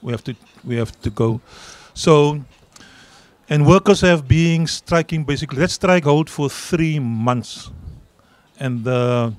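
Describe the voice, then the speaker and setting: A man's voice: fairly high pitch, takes it slowly, no echo. A middle-aged South African man with an Afrikaans accent, a union leader answering interview questions earnestly and repeating words for emphasis, speaking into a handheld mic outdoors. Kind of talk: interview answers at a public event. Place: outdoor garden event.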